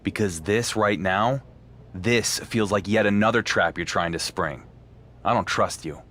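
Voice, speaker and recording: An actor playing a cocky, stubborn wrestling champion in a video game cutscene, with a dry, defiant manner. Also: male voice, fairly low pitch, measured pace, a bit bright. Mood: wry, guarded.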